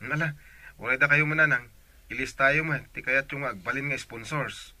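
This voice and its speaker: An insistent, eager grown son in an Ilocano radio drama. His mid-range voice is very sharp and forceful, with an Ilocano accent, recorded dry and close. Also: medium pitch, normal speed, dry recording. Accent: Filipino, Ilocano.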